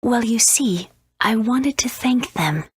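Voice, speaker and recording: A woman playing a gentle butterfly spirit in human guise in a video game, speaking softly and unhurriedly in a breathy, whispery voice. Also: a little high, lively intonation, slow, no echo, exaggerated voice. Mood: serene, grateful. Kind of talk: video game character acting.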